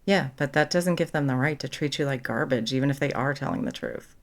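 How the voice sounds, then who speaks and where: A caring young female best friend, acted by a woman in an audio roleplay, with a low-pitched voice and plenty of vocal fry. She talks casually and frankly, protective and supportive of the person she is comforting. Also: brisk. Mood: protective, indignant. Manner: casual, supportive, blunt, sweary. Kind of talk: ASMR comfort roleplay, conversational.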